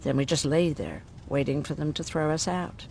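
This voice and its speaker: An actress playing a gruff, sardonic, world-weary woman medic who survived a prison camp, in a dramatic video game style. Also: sits low, normal speed, darkish tone, a little hiss or hum. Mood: grim recounting.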